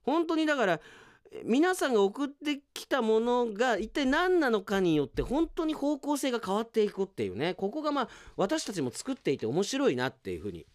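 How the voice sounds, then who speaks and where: A man hosting a radio show, chatting casually as he reads out listener mail and comments on it in an animated, chatty way. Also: extremely high pitch, fairly quick, no echo. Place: dry radio studio.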